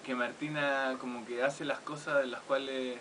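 A man's voice: medium pitch, little pitch movement, normal speed, fairly bright tone, a little hiss or hum. Chilean man in his thirties. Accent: Chilean Spanish. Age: 30s. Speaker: film director guest. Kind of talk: radio interview. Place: small radio studio. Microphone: studio mic on boom arm.

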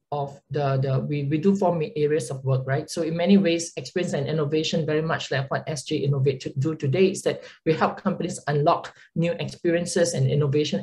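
A woman's voice: deep voice, darkish tone, rough recording. A woman with a Singaporean accent, a consulting partner presenting on a video call webinar, chatty with frequent filler words and tag questions. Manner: conversational, frequent "right?" tags and um fillers.

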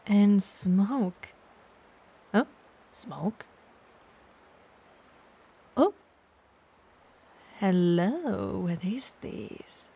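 Woman voicing a female vampire, playful, clumsy, bloodthirsty, soft husky voice, slow delivery, audio roleplay monologue. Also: medium pitch, animated, exaggerated voice. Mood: musing, wistful. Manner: theatrical muttering to herself, breathy asides.

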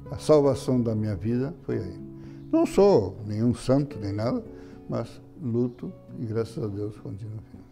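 Older man, very dark mellow timbre, animated swinging pitch, halting and repetitive with chuckles; interview seated in an altar room on a lapel mic. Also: vocal fry. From Brazil. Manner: halting, repeats words, chuckles often. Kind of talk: reminiscing interview talk. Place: indoor room beside an altar.

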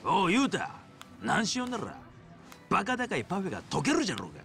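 An actor playing a brash middle-aged yakuza underboss in a video game, with a Hiroshima accent and rough, blunt dialect. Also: male voice, high-pitched, wildly swooping pitch, fast talker, fairly bright tone, soft background music, exaggerated voice. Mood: scolding. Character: brash, gruff male yakuza underboss, loyal to his elder. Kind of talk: acted video game cutscene dialogue.

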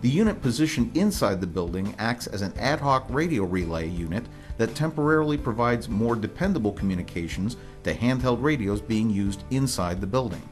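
An American man reading scripted promotional narration in a formal, measured, informational manner. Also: on the low side, expressive. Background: music bed.

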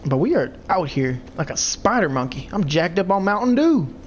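A man's voice: high-pitched, big pitch swings, moderate pace, a little hiss or hum. A young man with a stuffy, congested voice gives loose, profane commentary while playing a video game. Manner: loose, profane trash talk at enemies. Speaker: let's play host. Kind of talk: casual gameplay commentary.

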